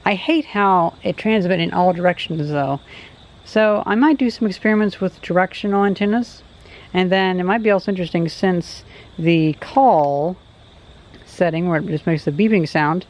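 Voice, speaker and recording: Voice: mid-range voice, moderate pace. A vlogger gives casual, unscripted commentary outdoors while testing gear, musing and thinking aloud. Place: outdoors along a road. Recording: dull audio, faint background noise.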